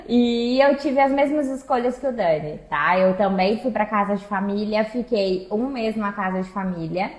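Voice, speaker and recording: A woman's voice: medium pitch, measured pace, a little mellow. A woman chatting casually and expressively, telling personal anecdotes and checking in with listeners. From interior of Minas Gerais, Brazil. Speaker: exchange agency staff member co-hosting a talk. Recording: echoey room.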